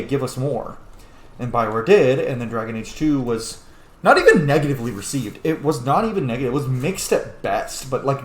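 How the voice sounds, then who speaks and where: A man giving casual gaming commentary in an opinionated, rambling way with plenty of swearing. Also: medium pitch. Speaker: video game streamer. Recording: roomy echo with faint noise.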